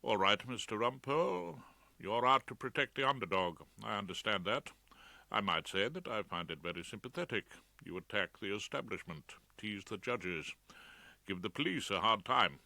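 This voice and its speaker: Audiobook role, male reader: grieving British gentleman, early sixties, moderate and without rancour, clear bright edge, dry recording. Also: on the low side, expressive, normal speed, no room sound, exaggerated voice. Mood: quietly earnest. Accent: British English. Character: moderate, well-bred bereaved father in his early sixties.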